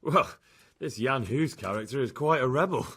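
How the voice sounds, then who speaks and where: An actor playing a direct, questioning young man with a husky voice in a medieval video game. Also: mid-range voice, moderate pace, sharp tone. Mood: wry. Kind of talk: dramatic video game dialogue.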